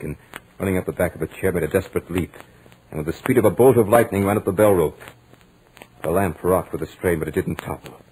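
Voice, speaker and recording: An actor playing a studious, stubborn young lodger with dry humour, in measured, dramatic 1940s radio horror style. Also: male voice, low voice, a little mellow, a little hiss or hum, natural voice, rough recording. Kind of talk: 1940s radio horror acting, first-person narration. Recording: old radio drama recording with music.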